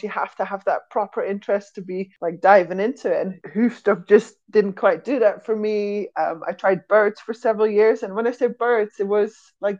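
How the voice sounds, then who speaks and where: Woman chatting away casually on a podcast, rambly stories, normal pitch, bit dull sounding audio. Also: a little mellow. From Netherlands and Switzerland. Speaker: zookeeper, podcast guest. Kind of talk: casual anecdotal interview talk.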